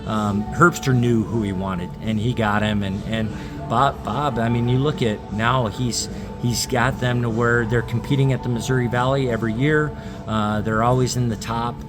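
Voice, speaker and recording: A man's voice: mid-range voice, steady tone, normal speed. Man giving casual sports analysis over music. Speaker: podcast guest, sports analyst.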